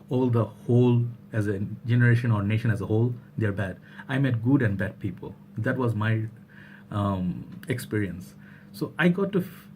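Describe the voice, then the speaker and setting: A man's voice: fairly low pitch, a little mellow, slight background noise. A young man from Bangladesh talking casually to camera in a vlog, rambling with many fillers and restarts. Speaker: vlogger.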